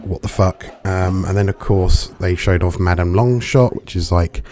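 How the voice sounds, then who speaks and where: A hungover man with a gravelly voice and dry throat, rambling through casual, sweary commentary as a gaming reviewer. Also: low voice, normal speed, warm tone, slight background noise. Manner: rambling, chatty, swears freely. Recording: music playing underneath.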